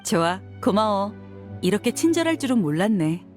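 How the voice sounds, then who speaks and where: A woman's voice: medium pitch, big pitch swings, moderate pace, darkish tone, faint music behind, lightly acted. A woman voicing a frank young desert mercenary, tough but caring, with a casual manner in a dramatic game scene. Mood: wistful, grateful.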